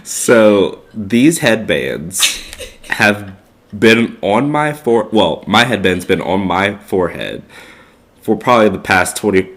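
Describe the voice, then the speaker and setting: A man's voice: lowish voice, animated, takes it slowly, a bit bright. A young man co-hosting a casual podcast, congested with a stuffed-up nose, rambling with lots of fillers. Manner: rambling, filler-heavy, laid-back. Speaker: podcast co-host. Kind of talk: casual chat.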